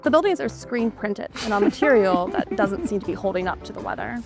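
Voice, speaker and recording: A woman's voice: medium pitch, very animated, brisk, music in the background. A woman narrating a scripted video report with a dry, sardonic delivery.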